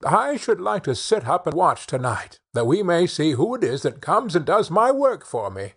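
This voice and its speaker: A man's voice: on the high side, very animated, normal speed, fairly bright tone, husky, pitched above the performer's own voice, heavily acted. A man voicing an honest, curious old shoemaker in a storybook, speaking in an earnest, wondering way.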